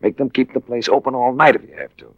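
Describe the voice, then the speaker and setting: A man's voice: medium pitch, steady tone, fast talker, highs cut off, slight background noise, natural voice. A man playing a cool, wry American adventurer-investigator in 1940s radio drama style, speaking in a clipped, dry, hardboiled way. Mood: brisk, commanding. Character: cool, wry American male adventurer-investigator, narrating his own case. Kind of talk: 1940s radio drama acting with first-person narration.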